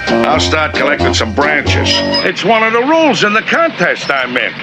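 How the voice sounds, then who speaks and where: A man's voice: fairly high pitch, very animated, normal speed, over music. A man voicing a bossy, boastful Stone Age family man in a classic cartoon.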